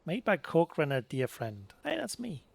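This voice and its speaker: A man's voice: on the high side, wildly swooping pitch, moderate pace, gravelly, dry recording. A man giving casual, playful and wry commentary as he plays a video game. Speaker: let's play streamer. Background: faint game music.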